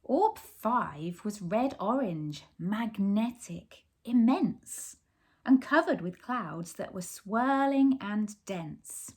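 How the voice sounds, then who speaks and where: Woman, children's story reader, very animated intonation, unhurried pace, talks directly to young listeners. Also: medium pitch, breathy edge. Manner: addresses young children with simple questions and explanations. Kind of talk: expressive picture-book read-aloud with asides to young listeners.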